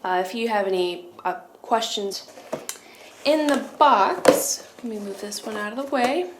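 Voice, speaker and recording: A woman's voice: mid-range voice, animated, measured pace, background noise. A woman presenting a casual product demonstration, explaining things step by step.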